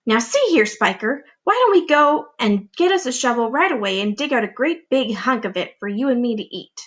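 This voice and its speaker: A woman reading a children's storybook, voicing a fat, greedy, impatient aunt who cries out in loud, eager exclamations. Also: fairly high pitch, very animated, moderate pace. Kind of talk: children's storybook read aloud.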